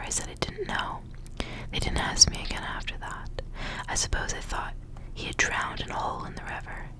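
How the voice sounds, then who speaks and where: A woman whispers her way through a story in an ASMR style. Her voice is breathy and entirely hushed, and she phrases each line softly and deliberately. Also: rough recording. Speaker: ASMR reader. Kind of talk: ASMR storybook reading with casual asides.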